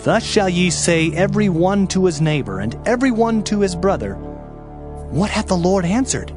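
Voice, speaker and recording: A man's voice: high-pitched, very animated, moderate pace. A man narrating a dramatized King James scripture reading in a solemn, emphatic, declamatory manner. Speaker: audio Bible narrator. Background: background music.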